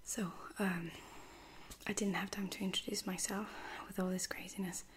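A woman acts out a gentle female lieutenant from a space-adventure ASMR roleplay. She whispers breathily with hesitant pauses, staying reassuring and caring in a fairly even pitch. Clear noise runs under the recording. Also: natural voice. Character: caring, apologetic female space-soldier lieutenant tending a wounded stranger.

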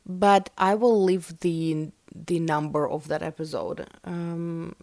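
A woman chats on her own podcast, her voice low with a gravelly edge. She rambles along with lots of "like" and "um." The sound is dry and close to the mic. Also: a little mellow, dry recording. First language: Russian. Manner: chatty, rambling, many fillers like "like" and "um". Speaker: podcast host. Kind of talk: casual solo podcast talk.

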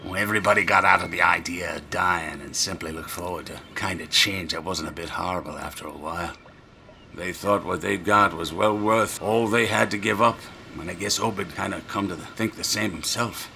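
A half-mad old New sailor in a radio drama. His voice is low-pitched and piercingly sharp, full of grammar, secrecy and fear. A faint ambience sits behind him. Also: normal speed, creaky, a little hiss or hum, exaggerated voice. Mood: conspiratorial. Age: older. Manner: folksy, dropped grammar, dramatic whispers rising to shrieks. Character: rambling, half-crazed old drunken sailor, secretive and fearful.

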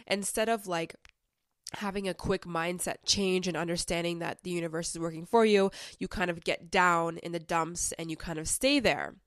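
Young woman, podcast host, slightly sick, chatty with filler words, lowish voice, dry close mic. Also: fairly even intonation, moderate pace, fairly bright tone, no echo. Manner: chatty and conversational, with frequent "like" fillers. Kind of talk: casual self-help podcast monologue.

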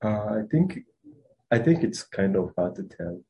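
A man's voice: on the low side, big pitch swings, unhurried, dull audio. A young man from Rwanda, a student answering interview questions in a hesitant, casual way with many fillers and restarts. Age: young adult.

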